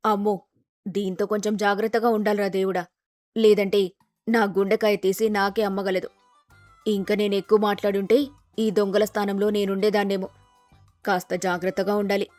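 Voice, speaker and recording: A woman's voice: mid-range voice, little pitch movement, normal speed, long pauses, darkish tone, dry recording, soft background music, pitched below the performer's own voice, close to an everyday voice. Woman playing a grumbling older village mother-in-law. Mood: wary muttering. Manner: dismissive, muttering asides.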